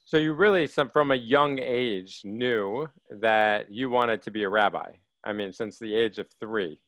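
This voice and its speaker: A man's voice: lowish voice, measured pace, fairly bright tone, no echo. A man hosting an interview, upbeat and eager, stumbling slightly over his words as he welcomes and prompts his guest. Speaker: podcast host. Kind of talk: interview host introducing guest.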